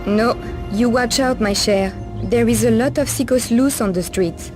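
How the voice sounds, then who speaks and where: A woman's voice: on the high side, moderate pace, music in the background, close to an everyday voice. A woman playing a world-weary, streetwise Parisian streetwalker in a video game, knowing, familiar and sardonic. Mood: uneasy. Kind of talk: video game cutscene acting.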